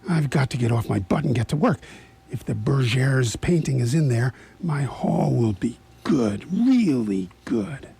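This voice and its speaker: A man's voice: medium pitch, sing-song, measured pace, full character voice. A man playing a cocky, jittery French cat burglar in a radio theatre piece, boastfully muttering to himself and slipping into French. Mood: self-motivating. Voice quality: husky, gravelly, breathy when hushed.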